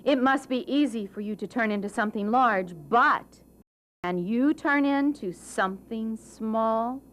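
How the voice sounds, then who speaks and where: Woman playing a flattering, exclaiming storybook tomcat, high-pitched. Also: a little slow, slight background noise, close to an everyday voice. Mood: amazed, flattering. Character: clever, flattering storybook cat, male, bold and courteous. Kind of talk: storybook narration for a puppet show.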